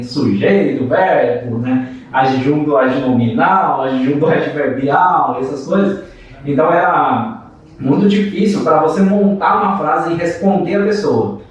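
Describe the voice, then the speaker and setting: A man's voice: fairly high pitch, animated, a little slow, distant mic. A man born in China, with Mandarin as his first language, chats on a podcast in a slightly raised voice, hedging with frequent "né" tags and restarting sentences. He sits away from the mic in a very echoey room. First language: Mandarin Chinese. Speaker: podcast co-host. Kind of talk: casual podcast chat.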